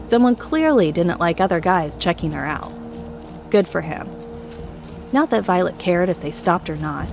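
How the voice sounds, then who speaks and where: A woman's voice: lowish voice, animated, moderate pace, creaky. Woman narrating a dramatic story over music.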